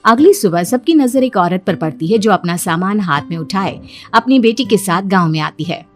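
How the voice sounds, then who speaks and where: A woman's voice: mid-range voice, expressive, moderate pace. A woman reading scripted story narration as the narrator of a moral tale, over music.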